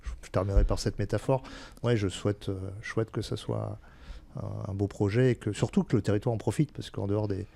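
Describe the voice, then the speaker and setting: A man's voice: fairly low pitch, fairly even intonation, brisk, creaky, mellow. A man giving explanations as an interview guest, candid and often searching for his words. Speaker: senior local-government administrator, interview guest.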